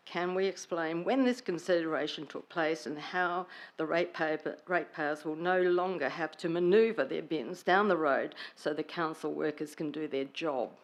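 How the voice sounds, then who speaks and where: Aussie woman, bit croaky, lowish voice, reading her questions to council. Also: low-quality recording. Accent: Australian. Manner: careful, slightly halting, formal. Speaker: resident asking questions at council meeting. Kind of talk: reading prepared public questions.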